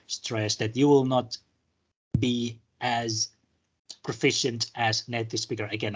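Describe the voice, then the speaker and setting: A man from Indonesia giving a loose, conversational university lecture, often pausing with fillers and restarting his sentences. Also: lowish voice, fairly bright tone, slightly rough.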